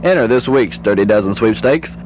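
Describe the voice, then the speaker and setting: A man's voice: fairly low pitch, lively intonation, brisk, a little mellow. A man reading a comic news bulletin as a college radio disc jockey, with theatrical joke-telling delivery. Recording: noisy 1970s radio aircheck tape.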